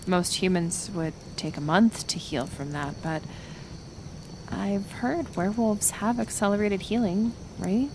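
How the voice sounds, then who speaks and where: A woman playing a kind, stubborn healer of the woods in an intimate roleplay audio, speaking softly and hesitantly, with soothing pauses. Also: mid-range voice, noisy background, lightly acted. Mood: caring, earnest. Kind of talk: roleplay audio acting, intimate one-sided dialogue.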